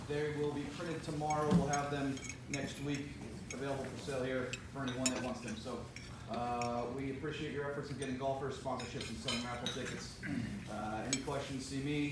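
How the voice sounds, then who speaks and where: A man's voice: mid-range voice, very noisy. A man giving a matter-of-fact announcement at a club meeting. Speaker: committee member. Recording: very echoey room from far off.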